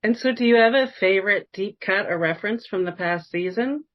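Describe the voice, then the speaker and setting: A woman's voice: medium pitch, fairly quick, highs cut off. A woman interviewing in a friendly, casual, conversational way, with the admiring manner of a fan. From New York, United States. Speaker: podcast interviewer.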